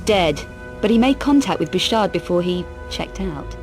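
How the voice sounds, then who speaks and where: A woman's voice: fairly low pitch, lively intonation, moderate pace, soft background music. A woman playing a tough, self-assured adventurer in a video game, with a terse, dry, unflappable delivery. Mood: inquisitive. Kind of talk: video game cutscene acting.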